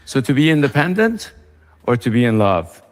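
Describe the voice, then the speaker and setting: An Iranian-American man reading a scripted reflective essay in a measured way. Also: medium pitch, big pitch swings, in a large hall, faint music behind.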